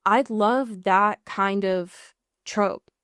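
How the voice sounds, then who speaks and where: A woman's voice: mid-range voice, extremely slow, a bit bright, clear, resonant tone, no echo, clean studio sound. An American woman co-hosting a casual recap podcast, emphatic and sweary, often rambling and correcting herself as she goes. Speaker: podcast co-host. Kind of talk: casual TV and film recap chat.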